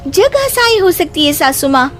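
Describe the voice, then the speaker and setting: Woman as a very high-pitched, pert young daughter-in-law. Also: big pitch swings, moderate pace. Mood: complaining. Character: sharp-witted, complaining young daughter-in-law. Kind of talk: animated moral story dialogue. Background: background music.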